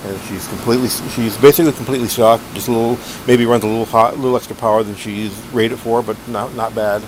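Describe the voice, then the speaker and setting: A man's voice: mid-range voice, steady tone, fast talker, noisy background. A man giving a casual, unscripted show-and-tell as a hobbyist, rambling along in a matter-of-fact way. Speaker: radio hobbyist presenting his equipment.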